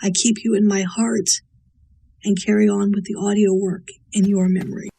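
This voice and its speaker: A woman delivers a prepared spoken tribute. Her voice is husky and even, with little rise or fall, and her manner is measured and sincere. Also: medium pitch. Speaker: voice actor paying tribute.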